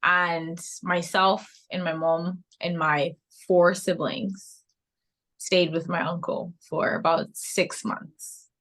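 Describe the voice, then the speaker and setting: Woman, 30s, Cameroonian-English accent with an American lean, lively reflective guest. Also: fairly low pitch, slow pace. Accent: Cameroonian English, softened toward American. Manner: reflective, animated storytelling with frequent laughs. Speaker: podcast guest. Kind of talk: casual interview conversation.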